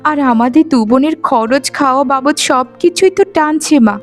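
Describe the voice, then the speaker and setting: A woman voicing a gentle, dutiful young village sister in a cartoon, speaking in a soft-hearted, pleading way. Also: high-pitched, moderate pace, faint music behind, lightly acted. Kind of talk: animated folk-tale cartoon voice acting.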